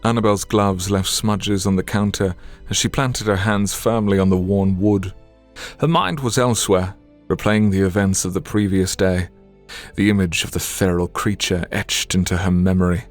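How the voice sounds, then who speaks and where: A man's voice: low voice, fairly even intonation, normal speed, soft background music. A man narrating an audio drama in a scripted, dramatic storytelling style.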